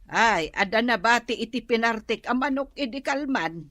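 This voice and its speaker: A woman's voice: on the high side, animated, normal speed, fairly bright tone, no echo, studio quality. A woman acting an elderly mother in a radio drama, scolding and nagging. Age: older.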